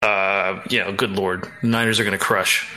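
A man talking casually as a sports betting panelist, in short, halting phrases. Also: sits low, fairly even intonation, pushed and bright, poor audio. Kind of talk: casual analytical chat.

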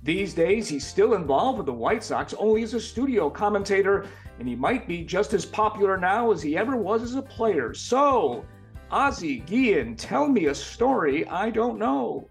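A male host reads a polished podcast introduction in a scripted announcer style. His voice sits high for a man. Faint music plays quietly beneath him. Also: sing-song, moderate pace, vocal fry. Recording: faint music bed under voice.

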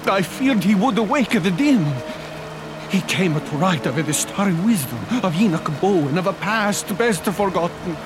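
An actor playing a tortured old priest in a radio drama, grave and solemn, fervent and burdened with guilt. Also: male voice, sits high, lively intonation, normal speed, over music. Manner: grave, solemn, intoning prayers.

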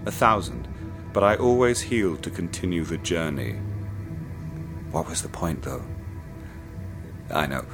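Deep-voiced actor as a ruthless occult collector, calm and menacing, radio play with music under. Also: male voice. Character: obsessive cold wealthy man seeking forbidden puzzle. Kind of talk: radio play narration and dialogue.

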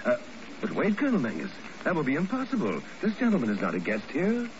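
An actor playing a polite, evasive and flustered hotel clerk with a stammering, obsequious manner in 1950s radio drama. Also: male voice, a little high, wildly swooping pitch, fast talker. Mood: nervously polite. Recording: noisy, dull old radio recording.

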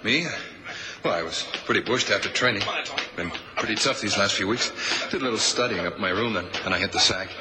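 A male actor plays a young college jock in an old radio drama. His voice is on the low side, casual but uneasy and halting. The recording is heavy with noise. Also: lightly acted. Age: young adult.

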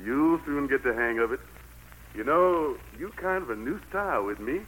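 A man's voice: medium pitch, animated, moderate pace, a bit bright, close to an everyday voice. An actor playing God as a genial, fatherly country patriarch with a Southern US accent and a folksy, commanding manner in an old radio play. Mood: gentle, fatherly. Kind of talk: 1940s radio play acting. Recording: noisy old radio recording.

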